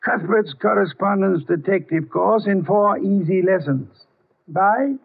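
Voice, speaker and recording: An actor playing an imperious genius detective in a 1950s radio drama, pompous and deliberate, with dry sarcasm. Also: male voice, sits high, creaky, a little hiss or hum. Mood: drily condescending. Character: imperious genius detective boss, drily condescending. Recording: tinny, band-limited old radio recording.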